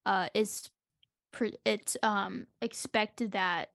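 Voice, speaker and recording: A woman's voice: medium pitch, steady tone, extremely slow, gravelly, a bit bright, no room sound, clean studio sound. A young woman co-hosting a casual book podcast, explaining her points in an analytical way. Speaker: podcast co-host. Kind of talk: casual book discussion chat.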